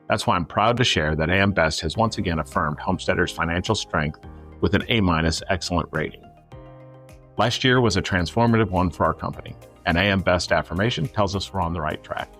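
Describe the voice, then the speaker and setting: Deep, quick man reading a corporate ad. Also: a bit bright. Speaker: sponsor spokesman. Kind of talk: scripted ad read.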